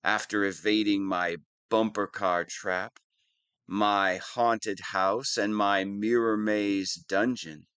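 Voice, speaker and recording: Casting: pompous, whiny comic supervillain for audio roleplay. Mid-pitched, smooth, measured, grandiose but thin-skinned. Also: male voice, a bit bright, no room sound. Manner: pompous monologuing that slips into whining. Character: whiny, theatrical wannabe supervillain, smug but petulant. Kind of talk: scripted audio roleplay monologue.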